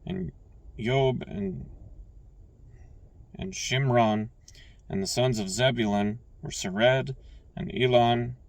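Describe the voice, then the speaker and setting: A man reading scripture aloud, stumbling and restarting on names and clearing his throat now and then. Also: medium pitch, takes it slowly, pauses often, no echo, distant mic. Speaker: scripture reader.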